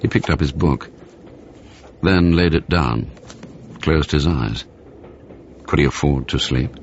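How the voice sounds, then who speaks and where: A man's voice: extremely low pitch, takes it slowly. A man narrating a radio thriller in a scripted, storytelling style. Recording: slightly noisy, muffled radio broadcast recording.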